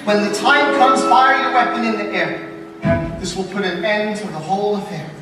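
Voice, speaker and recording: A man's voice: sits high, normal speed, natural voice. An actor playing a commanding, protective, honour-bound father of a young man in a rapped and sung stage musical. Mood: firm, pleading. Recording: reverberant distant mix over loud music.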